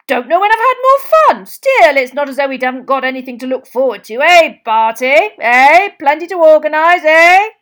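A woman's voice: sits high, big pitch swings, moderate pace, few pauses, voice pushed up. A woman voicing a jovial, boisterous male wizard official with a hearty, carefree, chummy manner in an audiobook. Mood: excited, boisterous.

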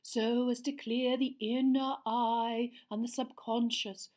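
A woman putting on an airy, mystical older witch of a teacher who murmurs her portents slowly and breathily. The voice floats high above her own, and everything comes out drawn-out and theatrical, as in a storybook reading. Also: flat delivery, darkish tone. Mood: dreamy mystic. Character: dreamy, mystical older female divination teacher.

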